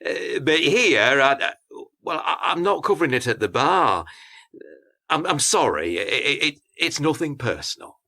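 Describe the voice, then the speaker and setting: An actor in a radio play playing an awkward, apologetic club owner who stammers and hesitates. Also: male voice, a little high, big pitch swings, unhurried, creaky, pushed and bright, heavily acted.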